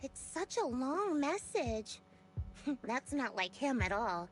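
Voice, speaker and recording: An actress playing a soft-spoken young woman in an anime video game, teasing and deliberate with a calmly menacing edge. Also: sits high, a little hiss or hum, heavily acted, low-quality recording. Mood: curious.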